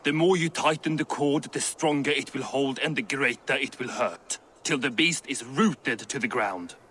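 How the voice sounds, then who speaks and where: An actor playing a proud, resentful dwarf smith in a fantasy game, blunt and sardonic. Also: male voice, medium pitch, moderate pace, fairly bright tone, a little hiss or hum, natural voice. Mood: businesslike, knowing. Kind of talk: cinematic fantasy game acting.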